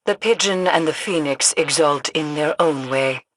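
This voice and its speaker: A woman's voice: low-pitched, moderate pace, no room sound, poor audio. An actress in a video game playing a stern, ancient female seer and monster hunter who speaks in solemn, cryptic, formal phrases. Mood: solemn, measured.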